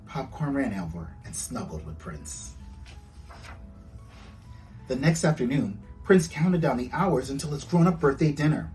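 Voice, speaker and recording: A man reading his children's storybook aloud with expressive, storytelling delivery. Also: mid-range voice, a little slow, pauses often, distant mic, faint music behind, poor audio. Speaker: author reading own book aloud.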